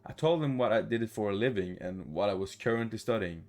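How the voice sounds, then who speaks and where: A man's voice: fairly low pitch, fairly quick, darkish tone. A man reading a visual novel aloud as a gaming YouTuber, stumbling over words now and then and adding his reactions. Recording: music behind the voice.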